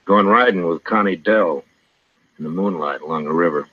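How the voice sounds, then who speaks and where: An actor playing a wry, laconic frontier marshal in a radio western, with a dry, understated and world-weary manner. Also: male voice, low-pitched, moderate pace, husky. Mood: wry, resolute. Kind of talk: 1950s radio western acting. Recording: muffled, echoey vintage radio recording.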